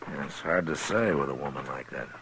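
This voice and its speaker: Stern Old West lawman, very deep American voice, measured, understated, 1950s radio drama, lightly noisy old recording. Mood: wary, dry. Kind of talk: 1950s radio western acting.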